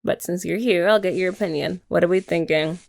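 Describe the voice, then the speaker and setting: A young woman in an ASMR girlfriend roleplay, talking softly and teasingly to the listener. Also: on the low side, brisk, creaky. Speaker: ASMR creator.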